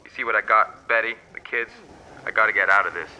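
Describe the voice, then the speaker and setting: A man's voice: on the low side, quick pace, bright, forceful tone, lo-fi sound, slight background noise. An actor playing a laid-off man in debt who is evasive and then pleading, in an amateur short film. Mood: desperate.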